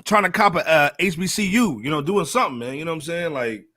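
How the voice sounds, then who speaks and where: Animated, playful man bantering, higher-pitched. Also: quick pace, no echo, studio quality. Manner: playful, repeats phrases, teasing. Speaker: podcast co-host. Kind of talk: casual banter.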